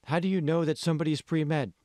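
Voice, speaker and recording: A man's voice: on the high side, steady tone, quick pace, warm tone, dry recording, clean studio sound, pitched above the performer's own voice, natural voice. A man voicing a friendly young woman in an audiobook, with a light, chatty manner. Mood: joking. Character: friendly young woman, pre-med student turned movie actress.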